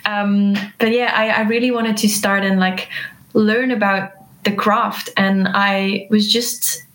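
A young woman from Belgium speaks English as a second language, her first being Dutch. She talks softly and hesitantly in a casual interview, often breaking into laughter. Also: mid-range voice, fairly even intonation, takes it slowly, low-quality recording. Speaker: musician guest.

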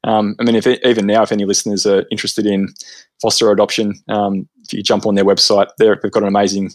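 A man, a podcast guest, talking in a relaxed, earnest way with plenty of fillers. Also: on the low side, fast, no echo. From Australia. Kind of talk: casual interview chat.

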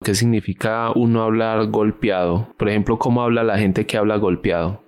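A man's voice: fairly low pitch, fairly even intonation, moderate pace, a little mellow, clean tone. A man hosting a podcast conversation, asking curious questions and explaining things to his guest. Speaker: podcast host. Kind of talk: casual interview conversation.

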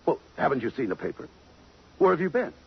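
An actor playing a tough, brooding police detective in 1950s American radio drama, curt and hard-boiled. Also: male voice, fairly high pitch, big pitch swings, quick pace, husky, full character voice. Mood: startled, urgent. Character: tough, brooding police detective lieutenant smitten by a murder victim. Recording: muffled, noisy 1950s radio recording.

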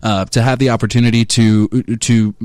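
A man in his twenties co-hosting a talk show, speaking earnestly and haltingly, with frequent restarts. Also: mid-range voice, level tone, a little mellow, smooth, no echo, clean studio sound. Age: 20s. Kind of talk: casual conversation on a talk show.

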